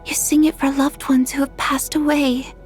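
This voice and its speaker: A woman playing a sweet, frail young girl in a video game dub, with a soft, breathy voice and a shy, hesitant, tender way of talking. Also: sits high, level tone, normal speed, soft background music, natural voice. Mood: tender, hushed.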